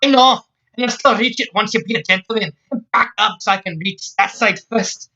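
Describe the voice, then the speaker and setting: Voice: medium pitch, a little fast, sharp tone, muffled recording, pitched above the performer's own voice. A storyteller voicing a feisty, hot-tempered Scottish warrior woman with a Scottish accent, reading fan fiction aloud. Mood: indignant.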